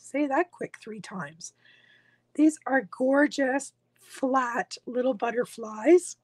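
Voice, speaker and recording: A hoarse woman with a croaky voice, walking through a craft tutorial step by step in a reassuring, casual way. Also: sits high, takes it slowly, a little mellow, dry recording. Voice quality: croaky, husky. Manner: reassuring, instructional. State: hoarse, losing her voice. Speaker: craft tutorial host, demonstrator.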